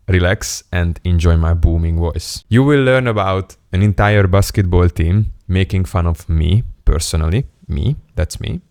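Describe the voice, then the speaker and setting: Deep-voiced man. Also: darkish tone, no room sound, clean studio sound. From Hungary. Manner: playful, self-mocking, candid. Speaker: self-improvement YouTuber. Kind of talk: casual storytelling monologue to camera.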